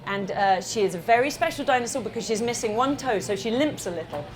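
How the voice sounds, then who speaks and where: A woman reading her storybook live to children in an animated, interactive way, pausing to address them and ask questions. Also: on the high side, rough recording. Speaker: children's author reading own book. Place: room with an audience of children.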